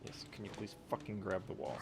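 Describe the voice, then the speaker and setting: A man's voice: lowish voice, animated, a little fast, gravelly. A man livestreaming a video game, muttering casual commentary to himself with sarcastic grumbles and plenty of swearing. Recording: loud game music behind the voice. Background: loud video game music.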